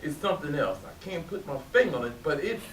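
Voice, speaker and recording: A man's voice: a little high, very animated, fast talker, a loud crowd. A man playing a laid-back, plain-spoken cook and caring family friend in a staged play reading. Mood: earnest, caring. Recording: echoey, hissy room from far off.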